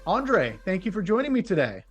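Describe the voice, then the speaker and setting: A man's voice: sits high, wildly swooping pitch, a little fast, dull audio, faint music behind. A man hosting a communication-skills podcast, introducing his guest and asking questions in an upbeat, polished way. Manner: upbeat, polished presenter delivery. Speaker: podcast host. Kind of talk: podcast interview.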